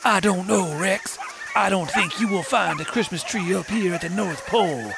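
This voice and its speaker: Man playing gravelly, doubtful adventure sidekick. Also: high voice, moderate pace, background noise. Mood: skeptical. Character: doubtful, plain-spoken sidekick to an adventure hero. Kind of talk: radio play acting.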